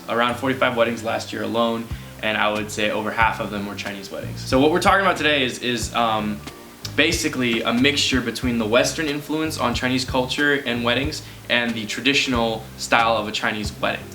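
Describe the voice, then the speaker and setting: American dude, bright voice, chatting easy, some music faint in back. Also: male voice, medium pitch, a little fast. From United States. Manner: relaxed, explanatory, with fillers. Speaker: guest DJ. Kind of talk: casual chat.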